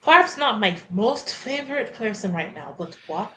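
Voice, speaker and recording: A woman's voice: medium pitch, expressive, normal speed, a bit bright. A woman playing a tabletop roleplaying game, talking in casual, improvised table conversation.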